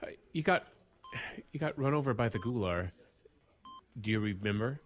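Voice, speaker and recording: An actor playing an earnest, shaken park ranger in an audio drama, speaking haltingly and stammering as he pleads. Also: lowish voice, lively intonation, natural voice. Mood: shaken, gentle. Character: earnest, shaken male park ranger, hesitant under pressure.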